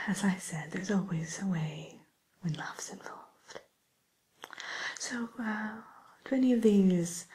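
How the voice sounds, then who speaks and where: A woman playing a polite, discreet matchmaker for villains in a whispered ASMR roleplay, speaking softly and breathily with tactful, hesitant, gently dry delivery. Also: lowish voice, rough recording. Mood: helpful, coaxing.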